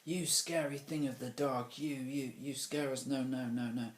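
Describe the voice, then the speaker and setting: A man's voice: mid-range voice, fairly even intonation, roomy sound, voice pushed up, natural voice. A man voicing a boastful, hot-tempered schoolboy who is a clumsy actor in a storybook. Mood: nervous, halting. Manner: halting when reciting, growling threats when angry.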